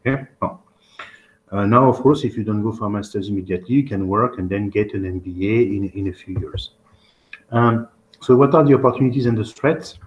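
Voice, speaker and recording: A man's voice: on the low side, brisk, dull audio, a little hiss or hum. A man with a French accent presents an informal online webinar, often hesitating and repeating his phrases as he goes. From France. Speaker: presenter, admissions test-prep consultant.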